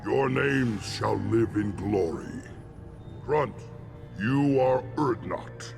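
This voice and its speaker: Gravelly, solemn alien warrior shaman. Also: medium pitch, music in the background. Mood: solemn, proud. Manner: ceremonial, grandiose, measured declarations. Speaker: clan shaman. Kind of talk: video game voice acting.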